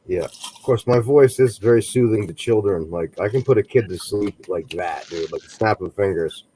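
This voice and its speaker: A man's voice: fairly low pitch, moderate pace, warm tone, slight background noise, low-quality recording. A man chatting casually on a live stream, telling laid-back stories and swearing casually. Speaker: stream co-host.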